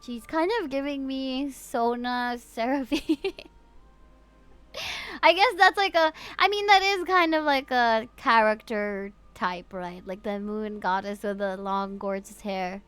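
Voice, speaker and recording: Casting: female VTuber livestreamer, Filipino accent, high voice, gushing and excitable casual game chat over music. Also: slow pace, clear, resonant tone, no echo. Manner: gushing, excitable, reads game dialogue aloud.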